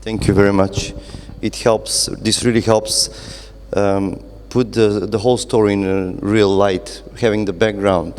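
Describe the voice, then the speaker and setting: A man moderating a live literary conversation, halting and rephrasing as he builds his questions. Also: lowish voice, little pitch movement, a little mellow. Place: indoor event space with reverberant acoustics. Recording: echoey hall with long reverb.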